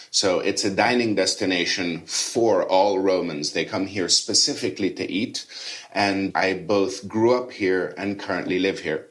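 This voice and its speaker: A middle-aged man raised in Rome, a chef and food tour guide, chatting enthusiastically in a podcast interview. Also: sits low. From Rome, Italy. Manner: chatty, enthusiastic, casual fillers.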